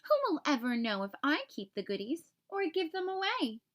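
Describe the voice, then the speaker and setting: A woman's voice: sits high, big pitch swings, normal speed. A woman voicing a grumpy, greedy little male Easter bunny in a storybook, complaining and self-pitying. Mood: scheming.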